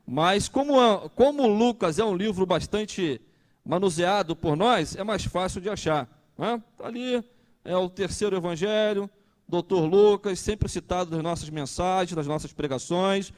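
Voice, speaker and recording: A man's voice: high-pitched, normal speed. A man, preaching a conversational sermon and asking the congregation questions. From Brazil. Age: 30s. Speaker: pastor.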